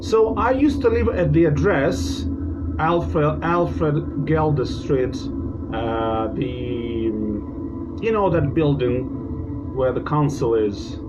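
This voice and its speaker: A man telling spooky bedtime ghost stories in a halting, drawn-out way, with asides to his listeners. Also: a little high, expressive. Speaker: storyteller, host of a bedtime ghost-story show. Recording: dull lo-fi recording with music behind. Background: prominent background music.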